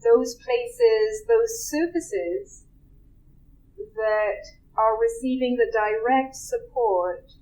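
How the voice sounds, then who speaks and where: Slow, soft-spoken woman guiding relaxation. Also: a little high, poor audio. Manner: slow, soft and unhurried with long pauses. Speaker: relaxation guide. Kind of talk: guided relaxation meditation.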